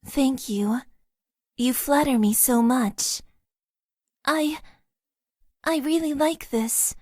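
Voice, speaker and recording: A woman voicing a shy, formal young mecha pilot in a game, speaking softly in stiff, literal phrases. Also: high-pitched, slow, dry recording, studio quality. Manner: soft-spoken, stiff and literal. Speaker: voice actress. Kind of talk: game character voice lines.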